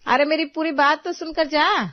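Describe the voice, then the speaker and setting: A woman's voice: sits high, steady tone, normal speed, no room sound, pitched above the performer's own voice, lightly acted. A woman voicing an anxious mother who frets and mutters in a cartoon story, recorded with a dull sound. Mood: warning. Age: middle-aged. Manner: worried, muttering. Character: anxious, fretting mother of a headstrong daughter. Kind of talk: acted cartoon story dialogue.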